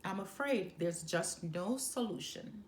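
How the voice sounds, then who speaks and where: A woman's voice: medium pitch, animated, slow, a little hiss or hum. A woman voicing an earnest, exasperated mother in a children's storybook, emphatic and pleading. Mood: despairing. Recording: roomy, echoing sound.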